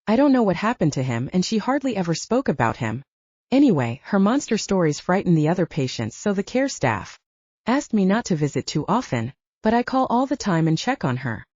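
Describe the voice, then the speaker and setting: A woman's voice: fairly low pitch, big pitch swings, a little fast, darkish tone, breathy edge, no echo. A woman voicing a young female werewolf soldier in an audiobook, candid, self-mocking and chatty. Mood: candid, wry. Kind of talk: audiobook character dialogue. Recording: dull, lo-fi sound.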